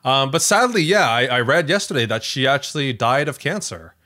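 A man's voice: mid-range voice, very animated, a little fast, sharp tone. Man hosting a casual podcast, thinking aloud. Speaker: podcast host.

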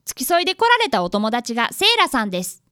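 A polite young boy apprentice in a radio drama, acted by a woman. The voice is high and crisply bright, with animated swings in pitch. He sounds earnest and well-mannered. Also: fast, no room sound, clean studio sound. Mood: earnest narrating. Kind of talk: radio drama acting and narration.

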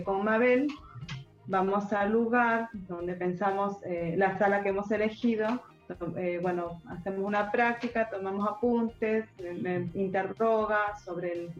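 Halting woman interpreting, roomy sound. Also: medium pitch. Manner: halting, many restarts and pauses. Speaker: sign language interpreter. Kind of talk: interview answers voiced for a deaf guide. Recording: roomy, dull lo-fi sound with faint music.

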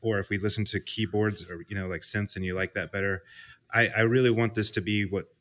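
Man, American, podcast host, casual rambling chat, low voice, bright forceful tone. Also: fairly even intonation, brisk. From United States. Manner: rambling, upbeat, with frequent fillers.

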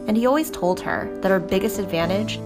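A woman reading scripted documentary narration in a measured, polished way. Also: mid-range voice, expressive. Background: background music.

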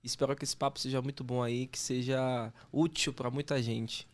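A man's voice: mid-range voice, flat delivery, normal speed. A man in his thirties with a Bahian Brazilian accent, chatting casually as an interview guest. He talks informally, with slang and frequent self-corrections. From Salvador, Bahia, Brazil. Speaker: podcast guest, sales consultant. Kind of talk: casual interview chat, telling career story.

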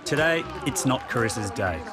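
A man giving scripted, measured narration for a sports documentary. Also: mid-range voice, expressive. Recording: narration over loud music.

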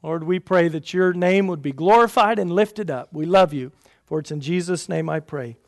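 Pastor, man, high voice, earnest church prayer and announcements. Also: normal speed.

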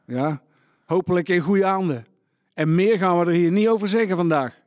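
An actor as a blunt, wary farmer, dryly recorded. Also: male voice, high-pitched, normal speed, darkish tone, no room sound, studio quality, close to an everyday voice. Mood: stern, cautioning. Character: wary, gruffly protective Dutch farmer in wartime village. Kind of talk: scripted radio drama dialogue.

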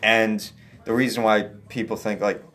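A young man as a guest in a casual chat, soft-voiced, rambling with many "like"s and restarts. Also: on the low side, level tone, moderate pace. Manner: rambling, heavy use of "like", restarts.

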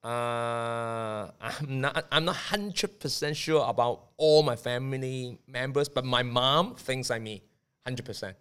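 Guy answering questions, lots of uhs and likes, mid-pitch voice. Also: slow, fairly bright tone, clean tone. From Hong Kong. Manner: hesitant, many fillers. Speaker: guest. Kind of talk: casual interview answers.

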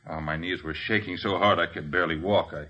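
An actor playing a shaken, earnest robbery witness in a 1950s radio drama, halting but emphatic. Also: male voice, low-pitched, fairly even intonation. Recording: dull old radio recording.

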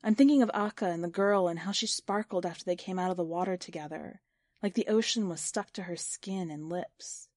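Woman reading a short story audiobook style, kinda low voice, no room sound. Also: a little fast, a little mellow, no echo, clean studio sound. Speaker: story narrator. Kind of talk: literary audiobook narration, first person.

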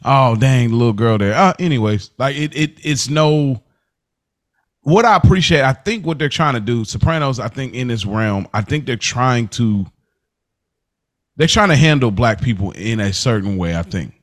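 A man in his thirties or older with an American accent, hosting a casual TV recap chat and thinking out loud. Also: mid-range voice, expressive, moderate pace. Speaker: podcast host.

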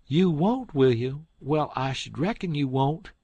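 A man voicing a fussy, quick-tempered elderly farm wife from the South, shrill and scolding. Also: lively intonation, darkish tone, husky, no echo. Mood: indignant.

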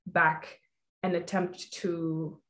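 A young woman with a lowish voice hosts the conversation. She speaks earnestly and reflectively, winding through her thoughts with frequent "you know"s. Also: level tone, drawn-out words, fairly bright tone. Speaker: host.

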